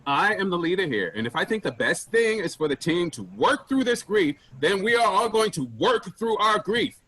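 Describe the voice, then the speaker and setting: A man's voice: sits high, animated, normal speed, a bit bright, low-quality recording. A man playing an indignant, sarcastic, commanding office team leader in a staged play reading. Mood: bossy, indignant.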